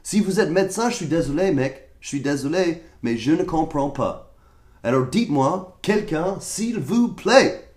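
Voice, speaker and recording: A young American man with a fairly high voice, speaking French with a clear American accent. He rants to the camera in an exasperated, sarcastic way about being sick and coughing, and the room around him rings with echo. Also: expressive, measured pace, a little mellow. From United States. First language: English. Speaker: vlogger. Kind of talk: comedic rant to camera. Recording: echoey room.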